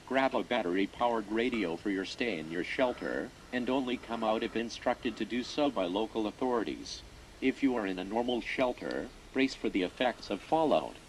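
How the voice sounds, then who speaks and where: A man playing a stern government alert announcer, reading a scripted emergency message in a formal, deliberate way. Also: lowish voice, a little mellow, lightly acted. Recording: noisy broadcast-style recording.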